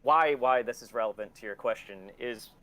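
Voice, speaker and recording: A man's voice: mid-range voice, normal speed, fairly bright tone, a little hiss or hum. A man in his forties talking candidly and casually as an interview guest, blunt and opinionated, swearing often. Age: 40s. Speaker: interview guest, record label and museum owner.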